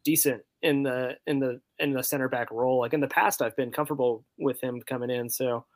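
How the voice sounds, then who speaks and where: Tentative mid-pitched man, dry sound. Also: fairly even intonation, fairly quick, no echo. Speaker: podcast panelist. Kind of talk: casual sports chat.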